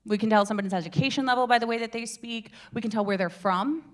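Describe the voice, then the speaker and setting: A young woman leading a casual public speaking workshop, chatty and animated, breaking off mid-sentence and asking the group questions. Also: on the high side, quick pace. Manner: chatty, animated, self-interrupting, asks the room questions. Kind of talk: informal workshop teaching. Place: meeting room with a live group.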